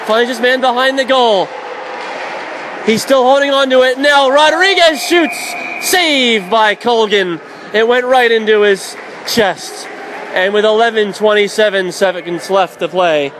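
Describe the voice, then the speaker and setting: A man with a high, bright voice calls the hockey action at a quick clip, his voice climbing into shouts on the big plays. Steady noise hangs behind him over the broadcast. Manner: rapid, shouting up on goals. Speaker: radio play-by-play announcer. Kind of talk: hockey play-by-play commentary.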